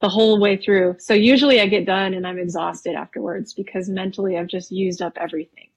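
Guest: thirties woman from Wisconsin, husky voice, relaxed and talkative, lots of filler ums. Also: mid-range voice, brisk. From Wisconsin, USA. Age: 30s. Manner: relaxed, chatty, laughs easily, frequent ums. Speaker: painter guest. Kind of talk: casual interview conversation.

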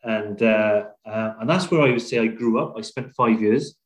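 A middle-aged man from Wales with a British accent, talking conversationally and reflectively as a podcast guest, with frequent pauses. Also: fairly low pitch. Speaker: podcast guest, business leader. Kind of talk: conversational interview, telling own career story.

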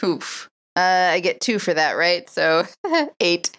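A woman's voice: on the low side, very animated, slow, fairly bright tone, slight background noise. A woman playing a tabletop roleplaying game, moving between improvised character speech and table chat.

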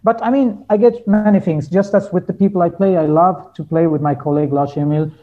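Guy chatting in an interview, pretty high voice, feels around for words, muffled lo-fi sound. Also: fairly even intonation, a little fast. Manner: hesitant, searching for words in non-native English. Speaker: interview guest, folk musician. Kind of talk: casual conversational interview.

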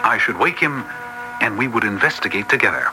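An actor playing a loyal, cautious gentleman in a vintage radio drama, earnest and a little hesitant. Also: medium pitch, animated, fairly quick, over music, close to an everyday voice. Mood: suspenseful narrating. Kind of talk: old-time radio drama acting and narration. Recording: noisy vintage radio recording.

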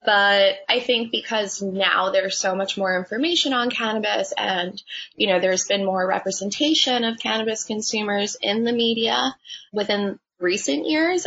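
Young woman, gravelly husky voice, laid back and chatty, lots of likes and you knows. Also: mid-range voice. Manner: relaxed, chatty, many fillers. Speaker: budtender. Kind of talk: casual interview answers. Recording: dull lo-fi sound.

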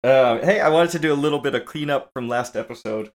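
A man co-hosting a casual movie podcast, relaxed and playful, talking after very little sleep. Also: mid-range voice, quick pace. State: low on sleep. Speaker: podcast co-host.

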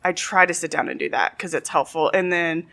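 Young woman comic on a podcast, lowish voice, soft-spoken and thoughtful. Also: a little fast, gravelly. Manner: soft-spoken, reflective, often laughing. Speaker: stand-up comedian guest. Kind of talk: casual interview chat.

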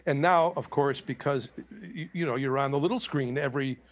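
A man's voice: medium pitch, a little fast. A man hosting an interview, prompting his guest with friendly questions. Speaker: interview host. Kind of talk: conversational interview.

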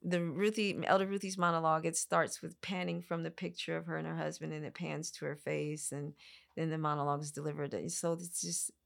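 This is a woman from the American Midwest with a low voice and noticeable vocal fry. She speaks conversationally as a guest performer in an interview. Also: little pitch movement, a little fast. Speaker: podcast guest, monologue performer.

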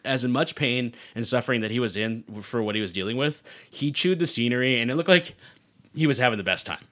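A man's voice: medium pitch, a little fast, fairly bright tone. A man hosting a podcast, talking casually about movies in an enthusiastic, jokey way. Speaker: podcast host.

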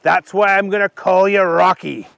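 A man's voice: sits high, fairly even intonation, normal speed, vocal fry, a bit bright, no room sound. A man voicing an eager, kindly young adventurer, earnest and colloquial, in a children's story. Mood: encouraging.

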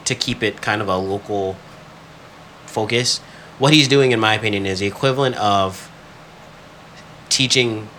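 Bright, forceful low-voiced man in discussion. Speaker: podcast co-host. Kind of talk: casual discussion, giving opinions. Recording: faint background noise.

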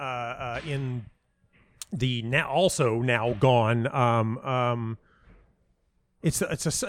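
A middle-aged man talking casually and reflectively as an interview guest, halting and restarting often, with a self-deprecating manner. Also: mid-range voice. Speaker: playwright and audio dramatist being interviewed.

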